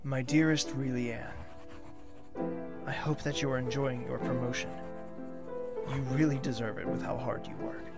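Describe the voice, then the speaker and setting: Proud, tender-hearted young prince played by a man, formal and earnest fantasy drama delivery, medium pitch with some fry. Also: steady tone, normal speed, long pauses, loud music behind the voice, lightly acted. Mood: nervous, tender. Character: lovestruck young male royal, formal, proud, easily wounded. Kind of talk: fantasy audio drama acting.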